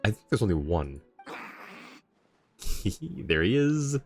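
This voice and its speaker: A man's voice: low voice, big pitch swings, slow pace. A man doing casual, chatty livestream commentary on a game, reacting and replying to his chat. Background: faint game music.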